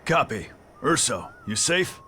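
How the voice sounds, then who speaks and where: A man's voice: medium pitch, very animated, slow pace, pushed and bright, a little hiss or hum, exaggerated voice. A man voicing a stoic, battle-hardened hero rabbit in a video game, terse and matter-of-fact. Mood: calm, terse. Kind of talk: video game cutscene acting.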